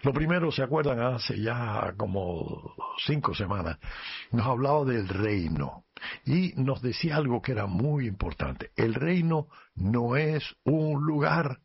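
Man, priest, husky voice, measured gospel reading, muffled radio audio. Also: medium pitch, lively intonation.

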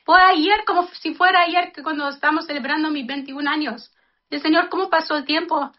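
A high-voiced woman in her fifties speaks earnestly to her listeners, mixing a reflection on life with a heartfelt prayer. The sound is dull and lo-fi, like a radio broadcast. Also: fairly even intonation, moderate pace. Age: 50s. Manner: earnest, addresses listeners as brothers and sisters.

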